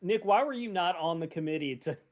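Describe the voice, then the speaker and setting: A man with a fairly high voice co-hosts a podcast. His intonation is very lively as he chats in a casual, informal way. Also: brisk. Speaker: podcast co-host. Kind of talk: casual chat.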